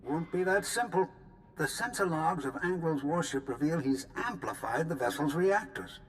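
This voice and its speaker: A man's voice: on the high side, expressive, moderate pace, fairly bright tone, slight background noise, low-quality recording. A man playing a dry, pessimistic older scientist in a sci-fi video game, speaking precisely and analytically. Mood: grave, analytical. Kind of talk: dramatic video game acting.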